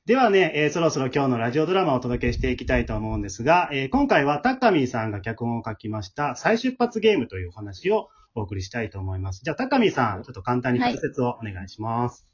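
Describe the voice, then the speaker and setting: A man hosts in a casual, polite and chatty way. He talks quickly at a medium pitch. The sound is a bit dull, recorded remotely from home. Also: sing-song, breathy edge. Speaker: host, amateur theatre troupe leader.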